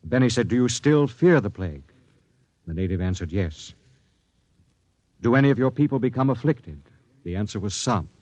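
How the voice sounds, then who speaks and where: An actor playing a stern, authoritative space captain who speaks with measured dignity in a 1950s radio drama. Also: male voice, lowish voice, animated, darkish tone, no echo. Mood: grave narration. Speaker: captain and narrator. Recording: dry, band-limited 1950s radio recording.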